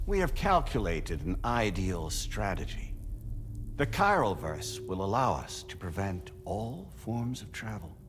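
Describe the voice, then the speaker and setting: An actor playing a calm collective entity of many souls, explaining things in measured, formal speech as in a cinematic game cutscene. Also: male voice, deep voice, a little hiss or hum. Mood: smooth reassurance. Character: calm collective entity of merged souls, speaking as one man.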